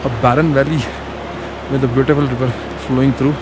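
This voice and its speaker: A man's voice: medium pitch, little pitch movement, extremely slow, heavy background noise. A man in his thirties vlogging while walking outdoors through a snowy mountain valley, chatting casually into a camera he holds close and mixing English into his talk. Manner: chatty, mixes English into speech, reflective at times. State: out of breath from walking at altitude. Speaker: travel vlogger. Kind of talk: casual travel vlog narration. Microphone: action camera held close. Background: ambient music.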